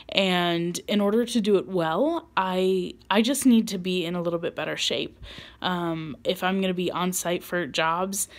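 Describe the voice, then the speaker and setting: Chatty young woman vlogging, full of ums. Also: fairly low pitch. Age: 20s. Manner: rambling, chatty, many ums and likes, self-deprecating laughs. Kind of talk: casual personal vlog.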